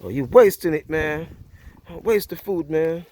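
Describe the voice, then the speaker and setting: A man's voice: on the high side, unhurried, darkish tone. A man with a Jamaican accent hosting a casual cooking vlog outdoors by a wood fire, chatty and easygoing, often saying "yeah, man".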